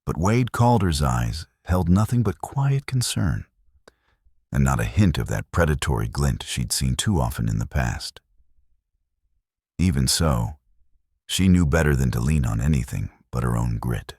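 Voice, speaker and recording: A man reading scripted western romance narration in a measured, dramatic storytelling manner, with a gravelly voice. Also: rumbling low, lively intonation, a little mellow, no room sound, studio quality. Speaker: audiobook narrator.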